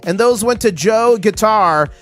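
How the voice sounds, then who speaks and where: A man hosting a casual livestream, his voice hoarse, talking with excitable hype-man energy. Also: high-pitched, a little slow. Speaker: livestream host.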